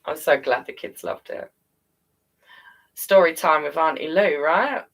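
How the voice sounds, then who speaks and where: A woman's voice: sits low, measured pace, recorded from a distance. A British woman hosting a live storytelling stream, chatting casually and affectionately with her viewers and encouraging them. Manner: affectionate, encouraging, calls listeners darling and guys.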